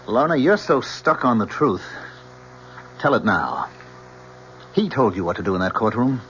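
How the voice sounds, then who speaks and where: A man's voice: mid-range voice, big pitch swings, moderate pace, fairly bright tone. An actor playing a bitter, sarcastic young man in an old-time radio drama, pressing and intense in his delivery. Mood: accusing, heated. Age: young adult. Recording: muffled, noisy old radio drama recording.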